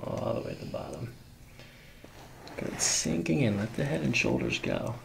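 A man's voice: lowish voice, wildly swooping pitch, normal speed, vocal fry, noisy background. A man in his thirties working as a chiropractor, talking a patient through a hands-on exam with instructions and short check-in questions. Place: small carpeted clinic treatment room. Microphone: microphone on a boom arm near the table.

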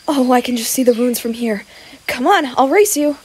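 Woman acting a high-voiced goofy young male adventurer. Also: animated, normal speed, slight background noise. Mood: playful, excited. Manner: bumbling, playful, stammering. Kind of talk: audio roleplay addressed to the listener.

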